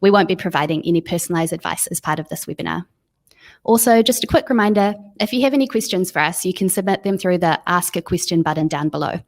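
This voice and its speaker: A woman's voice: lowish voice, quick pace. A woman hosting a webinar, introducing and moderating in a prepared, presentational style. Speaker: webinar host.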